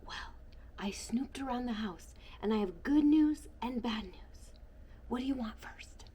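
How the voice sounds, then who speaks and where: A woman reading aloud and voicing a kindly old grandfather who often drops into a hushed whisper. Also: fairly high pitch, expressive, unhurried, husky. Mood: secretive whisper.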